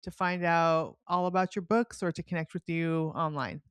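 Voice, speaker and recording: A woman's voice: fairly low pitch, fairly even intonation, normal speed, clear, resonant tone, no echo, studio quality. A middle-aged woman hosting a podcast in a casual, enthusiastic and encouraging way. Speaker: podcast host. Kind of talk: casual interview chat.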